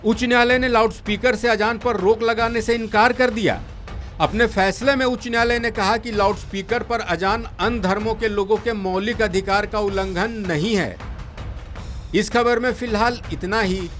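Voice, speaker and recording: A man reading scripted news as a presenter, in a formal, measured delivery. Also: high voice, steady tone, a bit bright. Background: faint background music.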